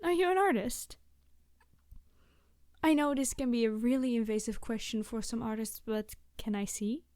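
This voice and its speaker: A woman's voice: a little high, darkish tone, no room sound, clean studio sound. A woman playing a cute, friendly young biologist in an ASMR roleplay, chatting eagerly and a little hesitantly to the listener about animals. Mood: amazed, flustered. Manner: gushing, hesitant, chatty explaining.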